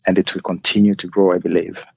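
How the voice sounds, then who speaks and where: A man's voice: sits low, level tone, fast talker, vocal fry, husky, no room sound, muffled recording. A man hosting an interview, summing up the guest's story and often affirming him with "that's right". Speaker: interview host.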